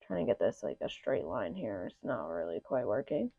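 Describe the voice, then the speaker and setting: A woman's voice: very deep voice, big pitch swings, moderate pace, vocal fry, husky, no room sound. A young woman chatting through a makeup tutorial in a casual, filler-heavy way, sounding a little nasal from allergies. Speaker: beauty vlogger. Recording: slightly muffled dry home recording.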